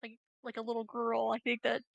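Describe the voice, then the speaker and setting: A woman's voice: on the high side, monotone, a little fast, creaky, no echo. A woman chatting casually as a player in a tabletop roleplaying game.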